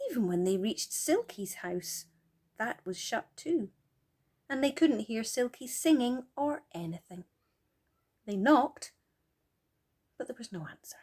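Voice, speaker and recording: A woman reading a children's story aloud as an expressive storyteller. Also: mid-range voice.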